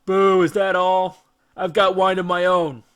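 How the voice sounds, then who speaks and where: A man's voice: high-pitched, little pitch movement, measured pace, fairly bright tone, smooth, pitched above the performer's own voice. A man voicing a childish, greedy, brutish giant cyclops in an audiobook, speaking in simple, blustering, demanding phrases. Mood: grumpy. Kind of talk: audiobook character acting.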